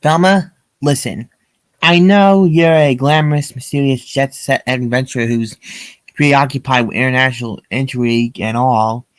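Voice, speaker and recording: Man acting smitten male curator for storybook: earnest, slightly halting, dry sound. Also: mid-range voice, no room sound, lightly acted. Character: earnest, admiring young male museum curator in love.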